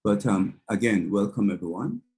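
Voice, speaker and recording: A man from Canada welcoming people to an online panel, soft-spoken with many ums. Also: on the low side, moderate pace, breathy edge. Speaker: panel co-host. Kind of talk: casual online panel talk.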